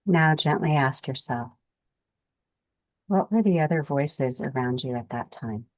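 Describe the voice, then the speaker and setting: A woman leading a guided meditation as its guide, speaking calmly and soothingly in gentle, unhurried phrases with pauses. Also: extremely low pitch, dry recording.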